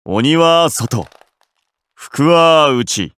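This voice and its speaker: A man voicing an imposing, earnest warrior in an anime game, solemn and deliberate. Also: fairly high pitch, animated, a bit bright, smooth, no echo, heavily acted. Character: imposing, earnest, slightly puzzled male polearm warrior.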